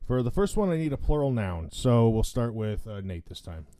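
A man chats casually as a podcast co-host. His voice is relaxed and warm in tone, with plenty of melodic movement. He needles the others with sarcasm. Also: mid-range voice, moderate pace. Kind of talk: casual banter.

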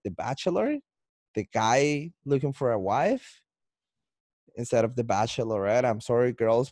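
A man's voice: medium pitch, a little mellow, no room sound, clean studio sound. A man with a Colombian Spanish accent hosting a podcast, chatting loosely with frequent restarts and laughs. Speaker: podcast host. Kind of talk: casual podcast chat.

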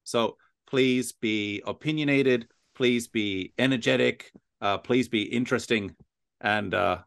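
A man's voice: mid-range voice, measured pace, creaky, fairly bright tone, no room sound, clean studio sound. A man hosting a casual interview podcast, encouraging and agreeable. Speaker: podcast host.

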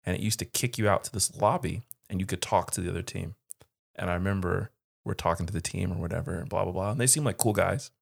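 A man's voice: low voice, fast, vocal fry, slightly rough. A man co-hosting a podcast, telling a personal story casually in a laid-back way, with a lot of fillers. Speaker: podcast co-host.

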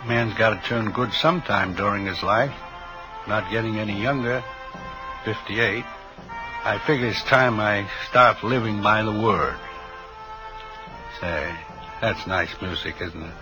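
A man's voice: lowish voice, measured pace, pauses often, close to an everyday voice, rough recording. An actor playing a folksy, pious reformed drug peddler in his fifties, rambling and easily distracted, in a 1950s radio drama. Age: 50s. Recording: old radio drama, music playing behind.

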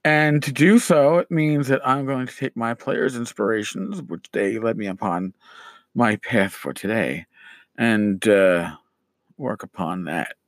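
A middle-aged man talks casually as a podcast host, his voice scratchy and worn as he loses it. He rambles, pausing on fillers and restarting his sentences. The sound is dry and close, with no room around it. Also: fairly low pitch, no room sound. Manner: rambling, with many "uh" pauses and restarts. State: losing his voice, scratchy throat. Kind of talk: casual solo podcast talk.

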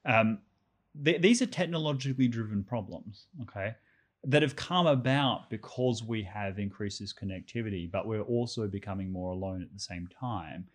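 Low-voiced man arguing a point, slightly croaky. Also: moderate pace. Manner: earnest, argumentative, restarts words often. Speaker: podcast co-host. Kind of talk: casual discussion.

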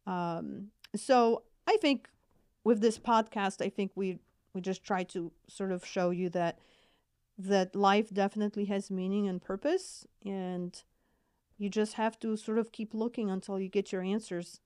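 A woman's voice: medium pitch, steady tone, a little slow, darkish tone, smooth, dry recording, studio quality. A woman with a Polish accent, co-hosting a podcast in a casual, earnest and hesitant way. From Poland. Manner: earnest, hesitant, repeats words. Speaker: podcast co-host, mental health nurse practitioner.

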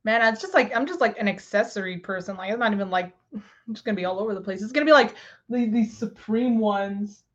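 A woman's voice: mid-range voice, fast. A woman from Texas with a mid-range voice co-hosts a casual fan podcast. She rambles along informally. The audio is somewhat dull and lo-fi. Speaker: podcast co-host.

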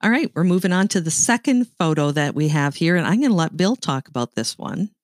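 A woman's voice: lowish voice, expressive, brisk, dry recording. Middle-aged woman, Canadian accent, chatty, laughs often, dry close sound. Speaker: podcast co-host. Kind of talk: casual chat.

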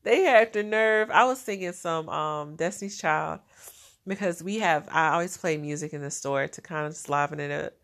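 A woman's voice: sits low, moderate pace, vocal fry, fairly bright tone. A woman with a nasal, stuffed-up voice talking in a casual diary vlog, chatty and laughing often. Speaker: vlogger.

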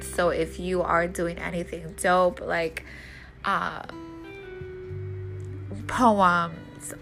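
A woman's voice: on the low side, gravelly, pushed and bright. A woman podcast host with a congested, nasal voice, chatting casually and in slang straight to her listeners. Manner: informal, slangy, addresses listeners directly. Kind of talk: casual solo podcast promo chat.

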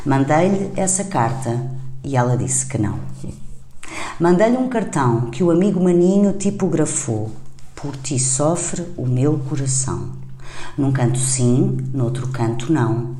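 A woman's voice: low-pitched, mellow. A woman hosting a poetry conversation, reading and talking about verse, recorded in a roomy space. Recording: roomy echo, faint background noise.

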